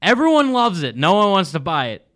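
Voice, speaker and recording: A man in casual chat, explaining things with quick stammering restarts. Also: high-pitched, sing-song, fairly bright tone.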